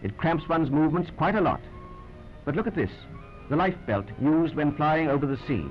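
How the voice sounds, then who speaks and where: A British man delivers 1940s wartime newsreel commentary in a fairly high, swooping voice. His manner is clipped and wryly understated, and the old soundtrack is muffled. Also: sing-song, moderate pace, faint music behind. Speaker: newsreel narrator.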